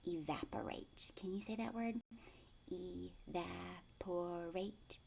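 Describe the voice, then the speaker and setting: A woman in her thirties reading aloud and explaining to young children in an expressive way, asking simple questions, recorded at home on a webcam. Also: lowish voice, slow pace, gravelly, a little hiss or hum. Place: living room at home, indoors. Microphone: webcam or laptop at arm's length.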